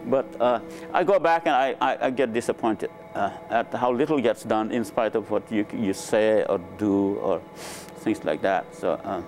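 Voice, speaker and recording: An older man from Nepal, a native Nepali speaker, recounting his life in a relaxed, hesitant way with many fillers, on a lapel mic in a lecture room. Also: fairly low pitch, moderate pace, few pauses, faint music behind, low-quality recording. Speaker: interviewed scientist. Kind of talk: relaxed conversational storytelling. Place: lecture room with a small audience.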